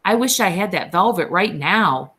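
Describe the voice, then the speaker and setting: A woman voicing a big, slow-witted, gentle farmhand man who talks in a childlike, pleading, frightened way. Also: fairly low pitch, lively intonation, pitched above the performer's own voice. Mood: wistful.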